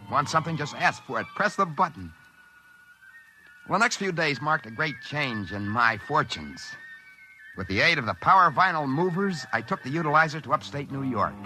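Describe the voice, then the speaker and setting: An American actor playing an excitable, work-shy schemer who stammers when flustered, in mid-century radio drama style. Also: male voice, mid-range voice, rough recording. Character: work-shy scheming dreamer who finds a wishing machine. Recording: vintage radio recording with faint music.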